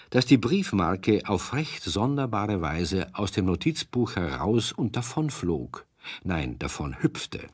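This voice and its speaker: Kids story narrator, low husky voice, dry sound, playful. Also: male voice, dry recording, exaggerated voice. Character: storytelling male narrator of a children's tale.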